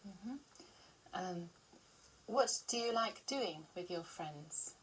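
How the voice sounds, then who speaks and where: A woman working as an exam interviewer, asking short, measured questions in a formal manner. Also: fairly low pitch, animated, poor audio. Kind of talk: scripted test questions.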